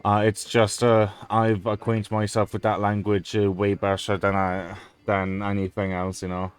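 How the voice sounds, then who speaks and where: Hesitant, rambling man with a smooth, low voice. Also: monotone, normal speed, no room sound, soft background music. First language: Polish. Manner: rambling and hesitant, with frequent fillers and casual swearing. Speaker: gameplay video creator. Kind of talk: casual gameplay commentary.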